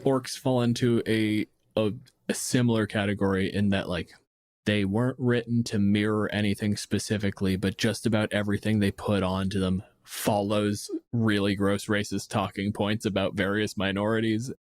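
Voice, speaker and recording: Blunt, sweary man with a lowish voice. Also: flat delivery, moderate pace, darkish tone, no room sound, studio quality. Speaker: podcast co-host. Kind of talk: casual opinionated tabletop gaming chat.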